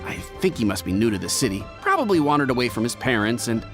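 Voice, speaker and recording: An actor playing a friendly, obliging young pharmacy assistant in a video game, polite and helpful. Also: male voice, mid-range voice, very animated, quick pace, music in the background, lightly acted.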